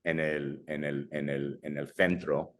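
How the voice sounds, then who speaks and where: A man's voice: very deep voice, level tone, slow. A young adult man from Brazil who grew up in Madrid, a filmmaker talking casually in an interview, often dropping English fillers and restarting his sentences. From Brazil, raised in Madrid. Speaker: filmmaker guest.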